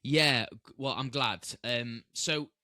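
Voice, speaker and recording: A man's voice: medium pitch, slow pace, sharp tone, dry recording, clean studio sound. A man hosting a podcast interview, talking casually with many fillers and restarts. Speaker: podcast host.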